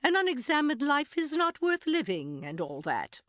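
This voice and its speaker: A woman's voice: high-pitched, huge pitch swings, normal speed, a bit bright, dry recording, studio quality. A woman acting as host and announcer of an audio drama, delivering a scripted, formal theatrical introduction.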